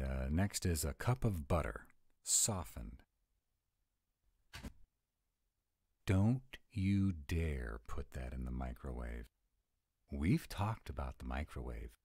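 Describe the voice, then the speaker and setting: A man's voice: rumbling low, dark tone, slightly rough, dry recording, clean studio sound, full character voice. A man playing a grumpy, fussy, easily flustered were-dragon who loves food, in a dramatic, exasperated roleplay audio. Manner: exasperated, dramatic, slow and emphatic. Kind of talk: one-sided romantic comedy roleplay audio.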